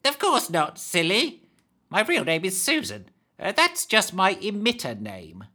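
A man's voice: high-pitched, wildly swooping pitch, a little slow, sharp tone, pitched above the performer's own voice, exaggerated voice. A man voicing a scruffy, greedy older woman who hisses her words, in a storybook reading. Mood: brisk. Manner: hissing, conspiratorial.

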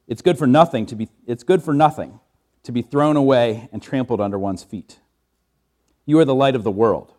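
A male pastor explaining the passage in a conversational way, then reading the gospel in a measured, solemn voice. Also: fairly low pitch, a little mellow. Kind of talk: sermon introduction and gospel reading. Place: church sanctuary.

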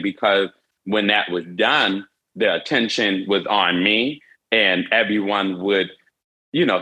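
A young man with hearing aids, an interview guest telling his personal story earnestly, halting with frequent restarts. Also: fairly low pitch, sharp tone, rough recording.

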